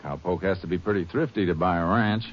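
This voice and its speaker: An actor playing a steady, shrewd Texas Ranger who also narrates, terse and authoritative, in a 1950s radio crime drama. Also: male voice, low-pitched, fast. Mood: businesslike. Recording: noisy, muffled old radio broadcast.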